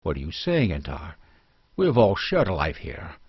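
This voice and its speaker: A man's voice: deep voice, huge pitch swings, moderate pace, breathy edge, dry recording, heavily acted. A man voicing a proud, steadfast husband in a fantasy audiobook, grave and restrained. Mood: dignified, defiant. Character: proud, steadfast immigrant husband defending his home. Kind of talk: dramatic fantasy audiobook dialogue. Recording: dull lo-fi recording.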